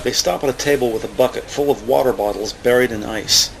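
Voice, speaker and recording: A man's voice: mid-range voice, fairly quick, vocal fry, noisy background. A man reading scripted, present-tense narration for an audio drama as its narrator. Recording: music bed under the voice. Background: music.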